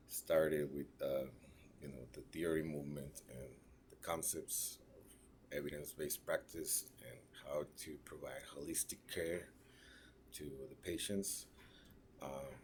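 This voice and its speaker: A man gives a class presentation. He pauses often and searches for words, checking in with short tag questions as he goes. A faint hiss of noise sits under the recording. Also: deep voice, a little mellow. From Mexico (raised in Ciudad Juárez). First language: Spanish. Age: 30s. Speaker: registered nurse.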